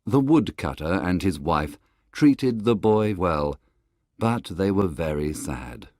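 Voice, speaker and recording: A man's voice: deep voice, expressive, takes it slowly, a little mellow, no room sound, clean studio sound. A man reading a scripted children's tale as its storybook narrator.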